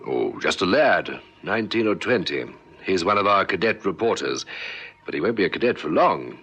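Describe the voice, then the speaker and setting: A senior newspaper editor in a vintage radio serial. His voice is low, sharp and husky. He is courteous, forthright and likes to explain. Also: big pitch swings, normal speed, exaggerated voice. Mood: explanatory, forthright. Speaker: actor in a radio police drama. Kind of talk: old South African radio drama acting.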